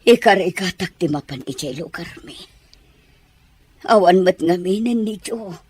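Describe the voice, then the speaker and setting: A woman's voice: lowish voice, expressive, measured pace, darkish tone, breathy edge. A woman playing an ailing, rejected and regretful mother in a radio-style drama. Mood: regretful, weary. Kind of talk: Ilocano radio drama acting.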